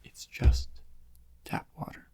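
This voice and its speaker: A man playing a vain, theatrical criminal mastermind who gloats and draws out his phrases in a soft-spoken ASMR roleplay, with a breathy, gravelly voice. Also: lightly acted. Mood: aggrieved, self-pitying. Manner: slow, drawn-out, melodramatic, savouring each phrase with long pauses.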